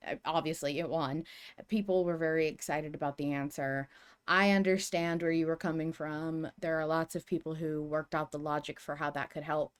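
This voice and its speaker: A woman's voice: low-pitched, smooth. A woman hosting a podcast in a casual fan discussion, explaining things carefully and with emphasis. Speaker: podcast host.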